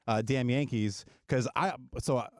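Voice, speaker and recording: A man's voice: mid-range voice, unhurried, darkish tone, dry recording, clean studio sound. A man in his thirties hosting an interview, asking friendly, rambling questions. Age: 30s. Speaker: podcast host. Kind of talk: casual interview.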